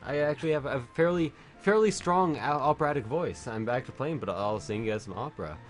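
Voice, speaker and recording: A man's voice: mid-range voice, brisk, faint music behind. A Canadian man chatting casually and joking while streaming a video game, swearing now and then. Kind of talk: casual chat while gaming.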